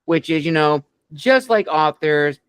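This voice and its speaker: Smooth-voiced enthusiastic male host. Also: on the high side, expressive, unhurried, a bit bright, no echo, studio quality. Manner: enthusiastic, effusive praise. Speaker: podcast interview host. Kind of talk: interview questions.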